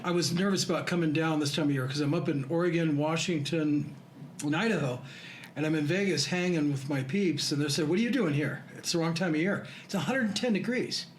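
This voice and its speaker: A man's voice: on the high side, fairly quick. Older man telling wry stories. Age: 60s. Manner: self-deprecating, laughing storyteller. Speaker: guest. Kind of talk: casual storytelling. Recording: noisy room ambience.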